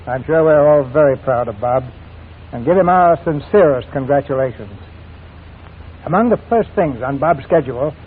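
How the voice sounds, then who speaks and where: A man hosting and narrating a 1940s radio theater show in a formal, measured, showman-like scripted style. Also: a little high, slightly rough. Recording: tinny 1940s radio recording.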